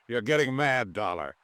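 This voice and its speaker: Radio drama role: cold, blustering elderly businessman father, commanding and animated, very dry sound. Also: mid-range voice, slow, no echo, heavily acted. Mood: cold scorn. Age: older. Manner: gruff, scornful, commanding. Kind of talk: old-time radio drama acting.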